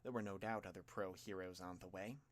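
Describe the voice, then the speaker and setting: A man's voice: low voice, fairly even intonation, brisk. A man reading fan fiction as an audiobook narrator, in a dramatic scripted style.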